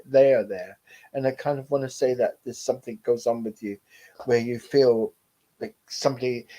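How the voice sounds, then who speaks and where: A man in the middle of a live spirit reading, talking gently and reassuringly. His speech wanders, full of fillers, restarts and soft laughs, at an ordinary male pitch. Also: low-quality recording. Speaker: medium. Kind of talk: live online mediumship reading.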